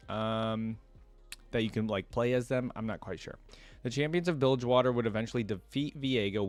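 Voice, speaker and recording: A man's voice: medium pitch, no room sound. A man doing casual reaction commentary, reading lore aloud and adding informal, conversational asides. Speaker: reaction video creator.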